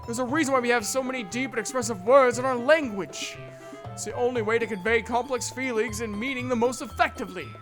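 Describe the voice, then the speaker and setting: A woman's voice: fairly high pitch, quick pace, over music, close to an everyday voice, poor audio. A woman voicing a reserved, proud, bookish teenage girl in an anime visual novel fandub, speaking in a formal, precise, defensive way. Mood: insistent, defensive.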